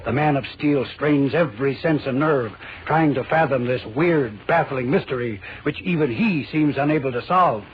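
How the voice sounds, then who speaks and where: Serial narrator: dramatic American man, medium pitch, suspenseful delivery, dull vintage recording with faint noise. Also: moderate pace, hardly any pauses. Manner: suspenseful, theatrical. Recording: muffled, faintly noisy old radio.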